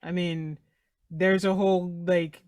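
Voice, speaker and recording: Man, high-pitched voice, dry close sound, insistent, casual tabletop player debating. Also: level tone, slow pace, darkish tone, clear, resonant tone, no room sound. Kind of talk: casual game discussion.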